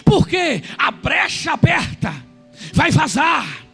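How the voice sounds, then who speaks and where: A man preaching a sermon, retelling scripture and shouting fervently. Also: very high-pitched, sing-song, slow pace, gravelly, soft background music.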